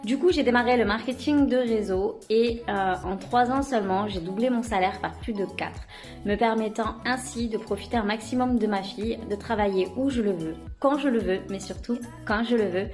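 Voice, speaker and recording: A woman's voice: fairly high pitch, normal speed, darkish tone, faint music behind. A woman in her thirties giving an enthusiastic testimonial, with a few hesitant fillers. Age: 30s. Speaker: network marketing member.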